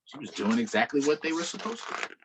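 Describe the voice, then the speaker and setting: A man reading a picture book aloud to children in an unhurried way, pausing for short explanatory asides. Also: a little high, very animated, noisy background. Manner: unhurried, adds explanatory asides to young listeners. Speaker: storytime reader.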